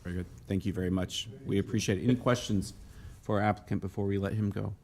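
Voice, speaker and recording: A man's voice: lowish voice, steady tone, fast talker, gravelly, darkish tone, a little hiss or hum. A man chairing a council meeting, brief and courteous, heard from a distant microphone. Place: council chamber.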